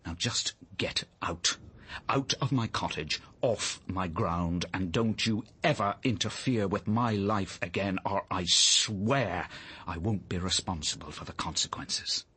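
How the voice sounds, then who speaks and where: Embittered painter father, British, deep, rough-edged, sarcastic, menacing, radio drama. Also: a little slow, bright, forceful tone, full character voice. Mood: menacing, seething. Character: bitter, hot-tempered father and struggling painter.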